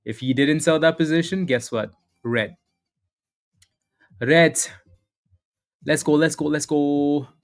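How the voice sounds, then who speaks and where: A man's voice: fairly high pitch, takes it slowly, pauses often. Upbeat male livestream trading host. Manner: casual, upbeat, repeats phrases, greets chatters by name. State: slightly hoarse, clearing throat. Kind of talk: live market commentary with chat shout-outs.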